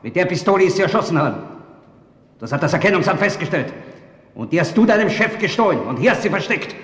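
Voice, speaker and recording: An actor playing a pushy, sarcastic police detective in a crime radio play, with a Viennese accent and a hectoring manner. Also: male voice, high-pitched, very animated, fast talker, fairly bright tone. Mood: accusing. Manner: hectoring, sardonic. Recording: echoey reverberant cold-room scene.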